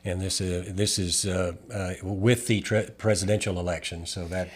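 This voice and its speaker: A man hosting a local radio interview, friendly and affirming, often halting on fillers. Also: low-pitched, little pitch movement. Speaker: radio host. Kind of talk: interview talk.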